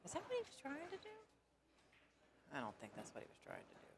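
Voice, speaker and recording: A woman with a low alto voice commentating on a pool match in a casual, rambling way that laughs easily. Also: huge pitch swings, fast, gravelly, background noise. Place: pool hall.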